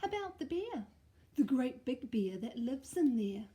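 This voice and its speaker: A woman's voice: sits high, very animated, a little slow, warm tone. A woman voicing a small frightened boy who whimpers and cries out in a storybook reading. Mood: scared.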